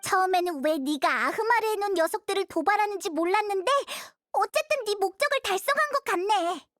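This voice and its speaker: A woman's voice: extremely high pitch, lively intonation, a little fast, fairly bright tone, no echo, clean studio sound. A woman voicing a small, cheerful floating fairy companion in an anime game, childlike and earnest, given to exclaiming.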